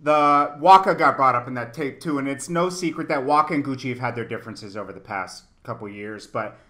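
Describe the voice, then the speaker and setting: A man's voice: mid-range voice, a little fast, bright, forceful tone. A man hosting a casual, slangy hip-hop interview over a video call, talkative and emphatic, often repeating phrases. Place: indoor room, on a video call.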